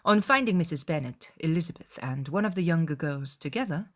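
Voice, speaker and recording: A woman narrates a dramatized literary audiobook. Her voice sits a little low. She tells the story in formal, measured phrases. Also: animated.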